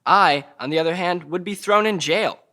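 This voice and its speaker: Man acting a cocky, bright-voiced young suspect. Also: high-pitched, normal speed. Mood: defiant, flippant. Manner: flippant, sarcastic. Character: cocky, defiant young male murder suspect. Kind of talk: dramatic table read.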